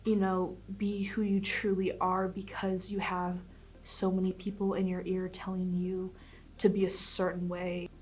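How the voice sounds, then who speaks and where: A woman's voice: lowish voice, completely flat delivery, unhurried, darkish tone, a little hiss or hum, poor audio. A young woman college student speaking earnestly in a documentary interview. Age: young adult.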